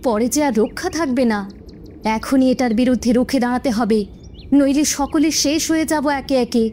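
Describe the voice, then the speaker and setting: A woman's voice: sits high, normal speed, dark tone, a little hiss or hum, natural voice. A woman playing an indignant, emphatic village woman in a cartoon story. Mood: defiant, urging. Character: indignant village woman urging neighbours to resist injustice. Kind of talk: Bengali cartoon story dialogue.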